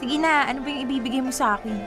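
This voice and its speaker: An actress playing a guileless, playful young woman in a radio drama, chirpy and expressive. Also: high-pitched, very fast, close to an everyday voice. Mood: playful, sweet. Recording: faint music behind.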